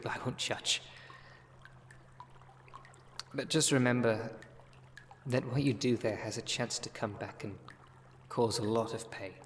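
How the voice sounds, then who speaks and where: A man's voice: mid-range voice, close to an everyday voice. A man acting as a wry, world-weary city witch in an ASMR audio roleplay, talking in a conversational, sardonic way. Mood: earnest, wry. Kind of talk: ASMR audio roleplay, one-sided dialogue. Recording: long reverb, noisy background.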